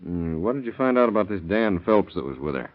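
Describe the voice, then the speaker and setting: An actor playing a shrewd, blunt sheriff who presses with questions in a 1930s radio crime drama. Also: male voice, low voice, very animated, a little fast. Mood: musing. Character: shrewd, probing male county sheriff questioning suspects. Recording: dull, muffled vintage radio recording.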